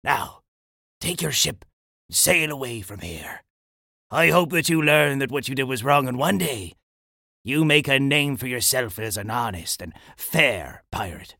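A man voicing a stern, honourable old pirate captain in a children's story, gravelly and declamatory. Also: mid-range voice, expressive, unhurried, bright, forceful tone, no echo, studio quality, full character voice. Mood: stern, forgiving. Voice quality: gravelly, creaky. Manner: emphatic, declamatory, moralising.

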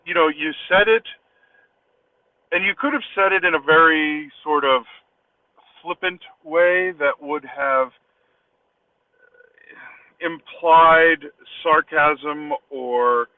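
A man speaks slowly and reflectively, pausing to find words in a casual voice message. The sound is thin and phone-like, with echo and some distance from the microphone. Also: fairly high pitch. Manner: halting, reflective, searching for words. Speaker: caller leaving a voice message.

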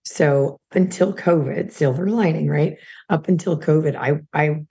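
A hoarse woman in her thirties or forties, a guest chatting in a rambling way about her work in sales. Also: sits low, measured pace, mellow, muffled recording. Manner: chatty, rambling, many restarts. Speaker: guest, supplement company sales rep. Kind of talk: casual interview chat.

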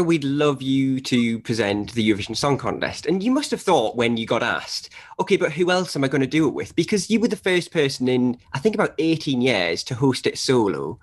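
A man interviewing a guest in a casual chat, asking eager, enthusiastic questions. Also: mid-range voice. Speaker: podcast interviewer.

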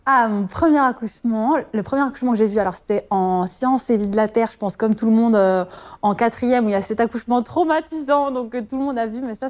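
A woman's voice: medium pitch, darkish tone. A woman, a midwife talking about her work in an interview, hesitant with many fillers and easy laughter. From France. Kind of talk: personal interview testimony.

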